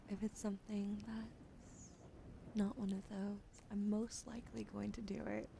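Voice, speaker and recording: A young woman streamer, chatting softly in an ASMR style and often slipping into whispers while giving tarot readings on a close microphone. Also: mid-range voice, noisy background. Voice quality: gravelly fry, often whispered. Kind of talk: casual ASMR chat, soft-spoken.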